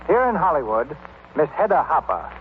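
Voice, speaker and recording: A man's voice: a little high, big pitch swings, measured pace. A man announcing from a script in old-time radio style. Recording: noisy, tinny old radio recording.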